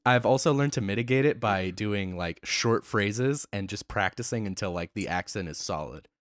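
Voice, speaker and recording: A young American man co-hosting a podcast, playful and quick to laugh as he chats. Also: on the low side, no echo, clean studio sound. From United States. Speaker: podcast co-host. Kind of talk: casual chat.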